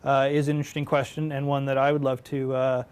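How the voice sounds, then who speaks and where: A man's voice: medium pitch, little pitch movement, fast, darkish tone, clean tone. A man speaking as a developer in a reflective interview. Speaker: franchise developer. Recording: music playing behind.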